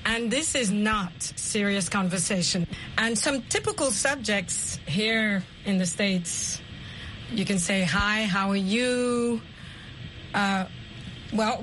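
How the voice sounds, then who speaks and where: A woman hosting an English lesson show, explaining simple words deliberately and chatting with guests. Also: medium pitch, animated, a bit bright, a little hiss or hum, rough recording. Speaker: host and English teacher. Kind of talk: slow, clearly explained language lesson chat.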